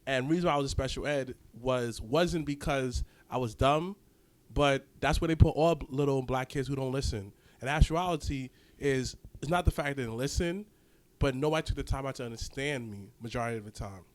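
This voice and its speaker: A man's voice: medium pitch, brisk. A young man as a podcast guest, telling his story candidly and laughing often. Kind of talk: casual podcast interview.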